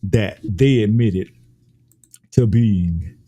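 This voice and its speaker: A man's voice: fairly low pitch, very slow, dark tone. An American man giving an opinionated political commentary, speaking emphatically and repeating phrases for effect. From United States.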